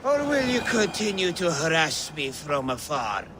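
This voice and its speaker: An actor playing a proud, bitter outcast warlock in a video game, measured and disdainful. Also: male voice, a little high, very animated, background noise. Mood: scornful. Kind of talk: video game character acting.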